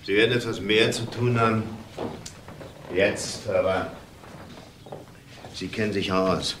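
An actor playing a middle-aged widowed husband in a crime drama, measured, halting and evasive. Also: male voice, fairly low pitch, steady tone, fairly bright tone, a little hiss or hum. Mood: composed, businesslike. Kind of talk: German television crime drama acting.

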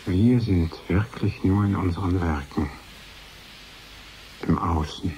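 Grüblerischer Künstler, sehr tief und langsam. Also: male voice, low-pitched, slow, mellow, slight background noise. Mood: pensive. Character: brooding male artist musing alone to himself. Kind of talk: introspective radio drama monologue.

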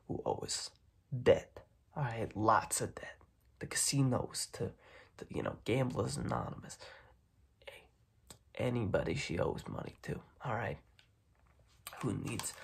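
A young man voicing a smug, menacing mob boss in a soft-spoken, half-whispered ASMR roleplay full of gangster slang. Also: mid-range voice, gravelly, breathy edge, exaggerated voice. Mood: scheming. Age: young adult. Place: small dark room indoors.

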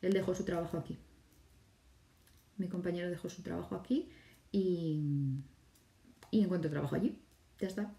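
A woman in her thirties with a Catalan-Spanish accent chats through viewer questions in an easy, talkative way. Her voice sits low and mellow. She is recorded at home on a phone or webcam, a little back from the mic, and the room echoes around her. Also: normal speed, gravelly, long pauses, recorded from a distance. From Catalonia, Spain. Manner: chatty, reads viewer questions aloud and answers them. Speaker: teacher and blogger hosting a live stream. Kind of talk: casual live-stream Q&A. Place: home room with bookshelves, indoors. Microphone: phone or webcam in front of her.

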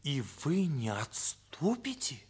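A man's voice: on the high side, sing-song, takes it slowly, husky, exaggerated voice. An actor playing a troubled, sincere ninth-grade schoolboy in a radio drama, earnest, searching and hesitant in his delivery. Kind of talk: Soviet radio drama acting.

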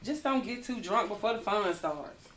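A woman's voice: mid-range voice, animated, moderate pace. A woman acting at a table read, reading film dialogue aloud in a sassy style. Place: meeting room with a conference table. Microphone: distant camera microphone. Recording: roomy, distant camera mic.

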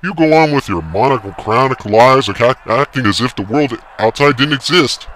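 A man voicing a stern, blunt small-town sheriff who umpires, barking his lines loudly. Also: mid-range voice, animated, moderate pace, a little hiss or hum. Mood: angry. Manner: loud, barking.

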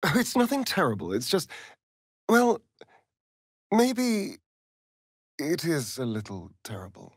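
A man's voice: a little high, huge pitch swings, slow pace, long pauses, husky, dry recording, full character voice. A sardonic male vampire rogue for a fantasy video game, with an English accent. He is vain and theatrical, taking his time over words and sliding up and down between purr and outburst. The sound is dry, with no room around it. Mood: hesitant. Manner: arch, drawling, mocking, swinging between purr and outburst. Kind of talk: video game character voice lines.

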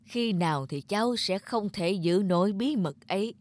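Gentle, wise cartoon grandmother played by a woman. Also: mid-range voice, animated, moderate pace, natural voice. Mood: gentle, earnest. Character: gentle, wise elderly grandmother advising a child. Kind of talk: animated moral-tale dubbing.

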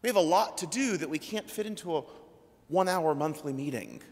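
A man in his thirties preaching a sermon from a church pulpit, measured and deliberate, his phrases trailing off low. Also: animated. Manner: slow, measured preaching with trailing drops in pitch. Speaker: priest. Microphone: pulpit microphone. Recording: long church reverb.

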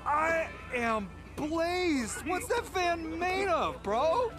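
An actor playing an amazed, stoned bystander in a video game, talking in dazed, drawn-out slang. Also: male voice, very high voice, sing-song, exaggerated voice. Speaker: game character. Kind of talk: video game cutscene acting. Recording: game street scene with crowd noise.

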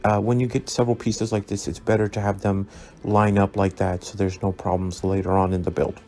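A man narrating a scale model build as a relaxed tutorial, easygoing and full of ums and uhs as he recommends each step. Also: lowish voice, fairly quick. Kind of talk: relaxed instructional voice-over. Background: soft background music.

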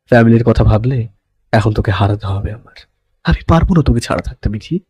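Man acting intense young lover, soft mellow voice. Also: on the low side, lively intonation, fairly quick, breathy edge, no echo, highs cut off. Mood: tender, pleading. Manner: dramatic, pleading, mixes in English words. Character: intense, possessive young man pleading his secret love. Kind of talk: Bengali romantic audio story acting.

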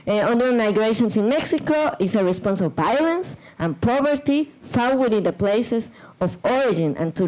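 Woman panelist, middle pitch, reading a prepared paper slowly, halting restarts, desk mic in a lecture hall. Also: warm tone. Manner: measured reading with hesitant fillers and restarts. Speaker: conference panelist. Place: large lecture room. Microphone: desk microphone.

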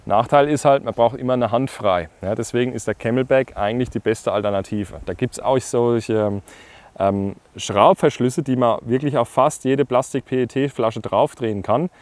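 A German paragliding instructor in his thirties talks to viewers in an easygoing, rambling way, full of little fillers and direct asides. His voice sits at an ordinary middle pitch. He is miked with a lapel clip out in an open meadow, and the sound is dry and close. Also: moderate pace, dry recording. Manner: relaxed, chatty, frequent fillers, addresses viewers directly. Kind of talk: casual tips to camera. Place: grassy meadow outdoors, hills behind. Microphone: lapel mic.

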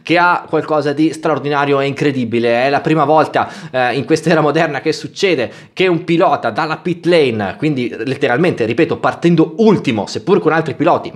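Chatty man recapping races, fairly high voice. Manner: chatty, many filler "eh" pauses. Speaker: motorsport YouTuber. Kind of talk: race recap commentary. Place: small room indoors.

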